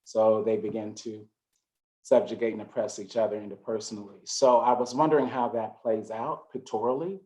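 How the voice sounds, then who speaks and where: A man, a curator speaking on a video call panel, measured and reflective with occasional fillers. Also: fairly low pitch, fairly even intonation, warm tone. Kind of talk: panel discussion.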